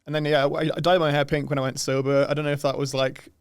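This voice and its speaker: Man, young adult, softened English accent, rapid speech, dry close recording, relaxed and chatty. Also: fairly high pitch, clear, resonant tone, no echo. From United Kingdom. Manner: relaxed, laughs often, swears casually. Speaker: guest. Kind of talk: casual podcast chat.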